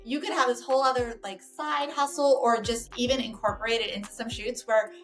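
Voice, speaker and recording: A woman's voice: on the high side, steady tone, fairly quick, a bit bright, faint music behind. A woman hosting a casual interview podcast, chatty and full of "like" and "you know" fillers. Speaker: podcast host and realtor. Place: Orange County, California.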